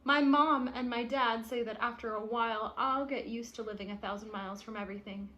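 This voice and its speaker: A woman's voice: a little high, fairly even intonation, brisk, sharp tone, highs cut off. A woman reading the part of a stubborn, indignant young boy from a picture book, with emphatic delivery. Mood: sulky. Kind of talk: picture-book read-aloud.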